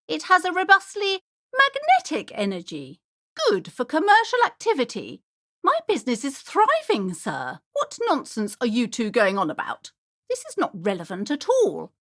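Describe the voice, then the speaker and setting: A high, swooping voice for a prim middle-aged bookshop owner. She is a strict, superstitious mother with a haughty, easily offended manner. Her delivery is theatrical video game acting, full of emphatic turns. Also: a bit bright, exaggerated voice. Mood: proud salesmanship, then indignant.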